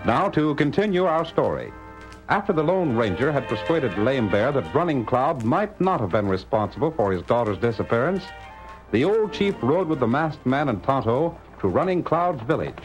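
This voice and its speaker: A man's voice: medium pitch, big pitch swings, normal speed, music in the background, low-quality recording. A man narrating a 1940s radio drama as its announcer, formal and theatrical in scripted delivery.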